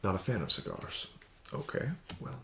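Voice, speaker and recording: A man doing an ASMR roleplay as a casino card dealer, talking slowly and softly with smug, teasing patter that slips into whispers. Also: fairly low pitch, very animated, gravelly. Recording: audible background noise.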